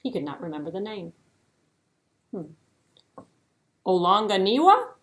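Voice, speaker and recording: A woman storyteller narrating a children's puppet show expressively, with dramatic pauses and playful delivery. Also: mid-range voice, lively intonation.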